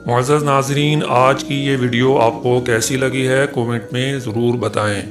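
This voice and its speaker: A man's voice: medium pitch, steady tone, moderate pace. Man narrating a religious story over music. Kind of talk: scripted narration of an Islamic story. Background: music behind the voice.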